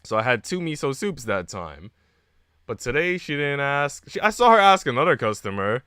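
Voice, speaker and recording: A man's voice: mid-range voice, sing-song, normal speed, pushed and bright, clear, resonant tone, dry recording, clean studio sound. A man live streaming in a slangy, conversational way, chatting casually as he plays through a visual novel. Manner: slangy, conversational, often addressing listeners as bro and dude. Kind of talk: casual chat while reading a visual novel aloud. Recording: music behind the voice. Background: game music.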